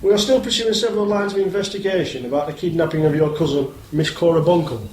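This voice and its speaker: A man playing a polite, methodical and persistent police inspector in a radio play, formal and measured in manner. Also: fairly high pitch, a little mellow, close to an everyday voice. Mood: serious, probing. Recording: roomy echo, faint noise.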